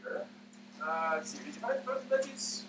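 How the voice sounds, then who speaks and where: A man's voice: a little high, expressive, fairly quick. A man hosting a casual live cooking demonstration, chatting and explaining each step as he works. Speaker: cooking live-stream host. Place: indoor home kitchen. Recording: echoey room with clear background noise.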